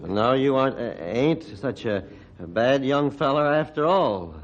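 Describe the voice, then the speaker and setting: A man acting a bitter, gruff old hermit doctor in an old-time radio drama, with halting rustic speech and a defensive, threatening manner. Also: medium pitch, animated, slight background noise, lightly acted. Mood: guarded, halting. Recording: muffled, band-limited vintage radio recording.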